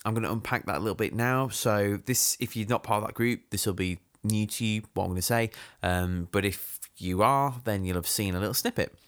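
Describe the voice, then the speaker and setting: A man's voice: lowish voice, brisk, few pauses, fairly bright tone, no room sound, clean studio sound. A young man hosting a podcast, chatting casually with a jokey, self-mocking energy. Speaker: podcast host.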